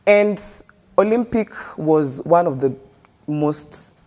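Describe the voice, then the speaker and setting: A woman's voice: low-pitched, animated, slow, warm tone. A Kenyan woman, a guest in an interview, telling her life story earnestly and switching between English and street slang.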